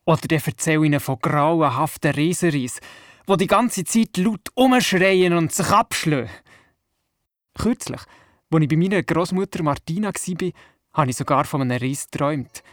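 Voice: mid-range voice, expressive, moderate pace, dry recording, clean studio sound, lightly acted. An actor playing a curious, imaginative young boy with a Swiss German accent, telling his story in a children's radio play. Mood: eager, proud.

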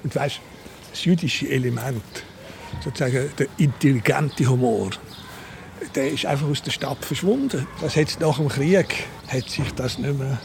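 An older man with a Swiss accent and a creaky voice, telling anecdotes in short phrases in casual conversation. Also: medium pitch, normal speed, darkish tone. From Switzerland. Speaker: interview guest. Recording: clear ambient background noise.

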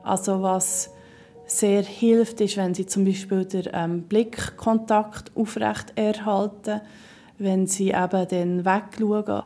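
A woman's voice: mid-range voice, dark tone. A woman in her forties with a Swiss German accent who stutters, repeating sounds as she talks about herself in an interview. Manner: stutters, with repeated syllables and word blocks. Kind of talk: personal interview.

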